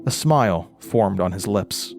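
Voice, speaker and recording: An actor playing a haunted, frightened man recounting a horror ordeal in a halting, intense way, as in an audio drama. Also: low-pitched, expressive, over music. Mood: haunted, tense. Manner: halting, stammering over words, intense. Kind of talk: first-person horror audio drama narration.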